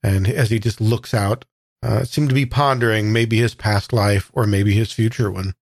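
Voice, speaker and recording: A man playing a tabletop game, improvising a thoughtful, halting description of his character. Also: fairly low pitch, fairly even intonation, no room sound, studio quality. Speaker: guest player in a tabletop game.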